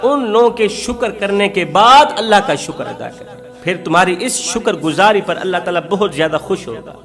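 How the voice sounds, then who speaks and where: A man's voice: sits high, expressive, a little fast, fairly bright tone. A man delivering an emotional Islamic sermon, telling a moral story in an impassioned, exhorting way with emphatic repeated phrases. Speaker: Islamic preacher.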